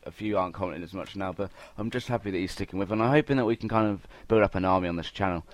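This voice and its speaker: A man's voice: low voice, racing, dry recording. A young man giving casual, chatty gameplay commentary, talking informally straight to his viewers. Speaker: gaming video host.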